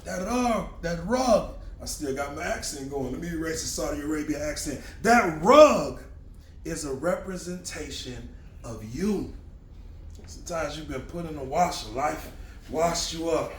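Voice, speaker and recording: Man, motivational storyteller, high voice, animated and repetitive, echoey room. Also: measured pace. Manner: animated, repetitive, bursts into shouts. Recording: echoey room, distant mic, faint hiss.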